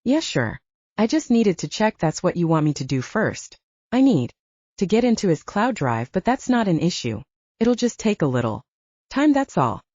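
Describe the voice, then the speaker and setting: A woman's voice: on the low side, darkish tone, no echo, lo-fi sound. A woman voicing a young, earnest, protective male soldier in an audiobook, talking in a blunt, casual, urgent way. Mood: matter-of-fact.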